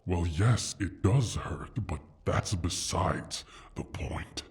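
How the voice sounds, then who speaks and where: A man playing a proud, injured dragon in a fantasy audio roleplay, menacing and pompous, with a husky, breathy rasp. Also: low-pitched, lively intonation, heavily acted. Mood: scornful. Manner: pompous, disdainful, halting theatrical delivery.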